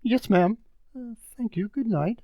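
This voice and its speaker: A woman's voice: a little high, unhurried, very noisy, pitched above the performer's own voice. A woman playing a deferential, hesitant household butler in a radio drama. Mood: anxious, deferential. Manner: meek, hesitant, respectful.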